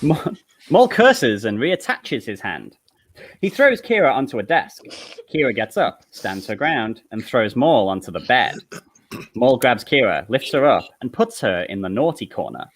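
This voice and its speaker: Animated man narrating a comedic script. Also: medium pitch, unhurried. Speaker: narrator reading stage directions. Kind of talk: live comedic script table read, narration.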